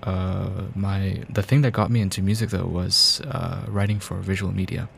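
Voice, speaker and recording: A man's voice: sits low, little pitch movement, normal speed, creaky, a bit bright, slight background noise. A man raised in Boston with an American accent, chatting casually as a pianist guest on a radio show. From born in India, grew up in Boston, United States. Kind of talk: casual interview chat. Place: radio studio.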